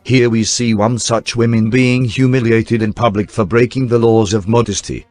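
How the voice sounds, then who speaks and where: A man reading scripted newsreel documentary narration in a formal, measured announcer style. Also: medium pitch, fairly even intonation, darkish tone, no room sound. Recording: dry voice-over with faint music bed. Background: faint background music.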